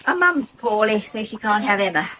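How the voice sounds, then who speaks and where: An actress playing a kindly, brisk older English woman minding a village pub bar in a radio soap. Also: mid-range voice, sing-song, pushed and bright, slight background noise. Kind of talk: 1980s radio soap acting.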